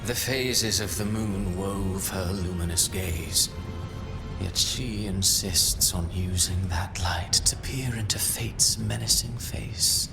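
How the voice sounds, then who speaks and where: An actor playing a brooding, ominous villain in a video game, reciting poetic monologues in a grandiose, deliberate way. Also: male voice, low-pitched, gravelly, music in the background, heavily acted. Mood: mocking, ominous.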